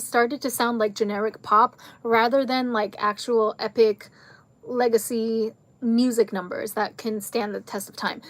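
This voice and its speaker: A woman with a fairly high voice talks casually to camera, rambling from one tangent to the next and leaning on fillers. Her voice is fading and a little hoarse. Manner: chatty, rambling, jumps between tangents; frequent fillers like "like" and "um". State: losing her voice. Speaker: video creator reviewing a film.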